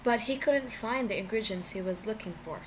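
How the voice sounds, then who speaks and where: A woman's voice: medium pitch, animated, normal speed, low-quality recording. A woman narrates fiction in a mid-range voice. It sounds like an amateur home recording, with noise in the background. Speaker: amateur audiobook reader. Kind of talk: scripted story narration.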